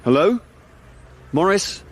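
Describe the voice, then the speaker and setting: Wry, animated jobbing actor. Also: male voice, high voice, slow, full character voice. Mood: sarcastic, irritated. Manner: dry, sarcastic. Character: wry, prickly jobbing male actor, middle-aged. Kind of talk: radio drama acting.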